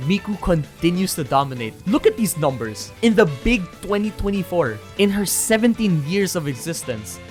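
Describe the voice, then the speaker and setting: A young adult narrating a scripted video essay in a casual, animated way, moving between earnest points and playful asides. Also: mid-range voice. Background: background music.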